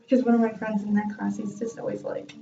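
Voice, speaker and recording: A woman's voice: medium pitch, big pitch swings, fast talker, creaky, dark tone, far from the mic. A young woman telling a self-mocking story from her music class in a chatty vlog, laughing often. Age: young adult. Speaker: vlogger and music student. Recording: dull lo-fi sound from off-mic.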